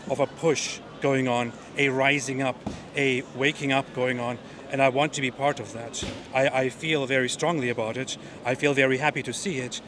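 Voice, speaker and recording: Halting, thoughtful man being interviewed. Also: medium pitch, background noise. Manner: thoughtful, halting, many fillers. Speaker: rally attendee interviewed. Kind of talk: outdoor interview answers. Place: outdoor street rally.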